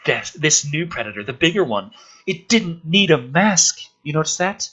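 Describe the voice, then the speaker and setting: A man reading the part of an intense scientist earnestly explaining a theory from a film script, in a measured, hushed, deliberate way. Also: on the high side, sing-song, husky, dull audio, full character voice.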